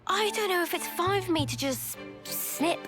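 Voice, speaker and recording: A woman's voice: very high-pitched, normal speed, music in the background. A woman playing a hesitant young female character in a video game cutscene. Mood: hesitant, pensive.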